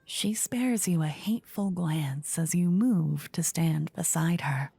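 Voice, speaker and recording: A woman narrating a scripted fantasy ASMR story in a soft, whispery voice. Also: low voice, takes it slowly, gravelly, slightly rough, no room sound, soft background music.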